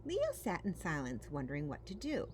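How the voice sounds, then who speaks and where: A woman's voice: deep voice, huge pitch swings, normal speed. A woman reading a children's picture book aloud as a story-time reader, expressively and with dramatic emphasis. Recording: faint background noise.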